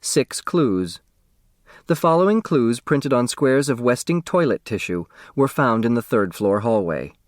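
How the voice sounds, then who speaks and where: Animated male audiobook narrator. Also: mid-range voice, a little slow, no room sound, clean studio sound. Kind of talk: scripted children's novel narration. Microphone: close studio microphone.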